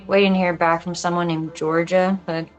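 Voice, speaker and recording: A woman's voice: on the low side, little pitch movement, fairly quick, soft background music, close to an everyday voice. A woman playing a guarded, dry-witted police officer in a film script table read. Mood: businesslike, casual.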